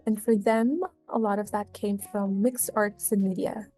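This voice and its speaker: Woman in her twenties from Tanzania; measured, earnest narration over faint music. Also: mid-range voice, steady tone, mellow. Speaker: graduate student researcher. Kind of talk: personal storytelling documentary voice-over.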